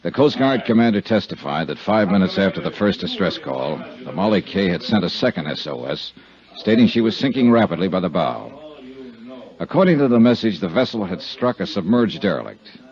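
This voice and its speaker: A man's voice: deep voice, big pitch swings, brisk, a little mellow. An American man playing a wry, wisecracking insurance investigator who narrates his own case in 1950s radio drama style. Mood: crisp narrating. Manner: wisecracking, colorful storytelling. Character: wry, breezy freelance male insurance investigator. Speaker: lead actor and first-person narrator. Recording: dull vintage 1950s radio recording.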